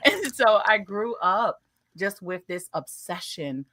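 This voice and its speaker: An American woman in her late thirties, a guest telling her travel story in a casual interview, animated with playful asides and laughter. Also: medium pitch, slow, no room sound. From United States.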